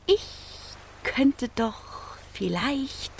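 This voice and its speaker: Children's radio play: a tiny living flame, eager and coaxing, very high voice, unhurried. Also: female voice, animated, gravelly, darkish tone, a little hiss or hum, exaggerated voice. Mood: hesitant, offering. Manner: exclamatory, coaxing, wide-eyed. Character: small eager living flame, female, bold and yearning.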